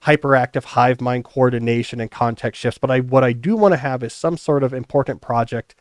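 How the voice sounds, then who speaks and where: A man's voice: mid-range voice, a little fast, dry recording, studio quality. A middle-aged man hosting a podcast in casual, joking conversation, with loose restarts. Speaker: podcast host.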